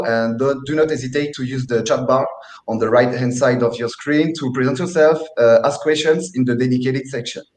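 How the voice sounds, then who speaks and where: A man hosting and moderating an online talk, speaking politely with some halting restarts. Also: medium pitch, a little mellow. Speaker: host and moderator. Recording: echoey room.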